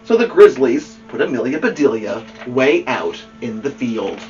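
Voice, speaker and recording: A man reading a children's storybook aloud as host, expressive and engaging with a young listener. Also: medium pitch, soft background music. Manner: expressive, pausing to ask a child listener questions. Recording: muffled, distant microphone.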